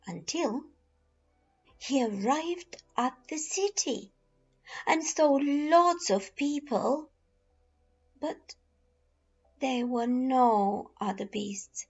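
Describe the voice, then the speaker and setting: A woman reads a children's story very slowly, in a high, husky voice. She narrates expressively, lingering on phrases and leaving dramatic pauses. The sound is a little dull and lo-fi. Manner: deliberate, dramatic pauses and drawn-out repeated phrases. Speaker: storyteller reading a children's picture book. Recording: dull lo-fi recording with music behind. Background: music.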